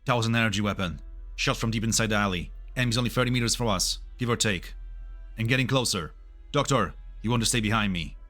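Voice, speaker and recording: A man's voice: on the low side, fast, fairly bright tone, soft background music, natural voice. A man playing an earnest, analytical tech specialist in a game fan dub, protective and precise as he explains. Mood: alert, tense. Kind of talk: scripted anime game dub acting.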